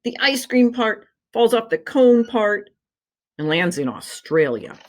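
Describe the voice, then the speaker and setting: A grumpy little storybook boy, played by a woman reading aloud, whines in a raised pitch. His complaints are indignant and emphatic, with big swoops in tone. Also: very animated, measured pace. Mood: spiteful. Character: grumpy, put-upon young boy complaining.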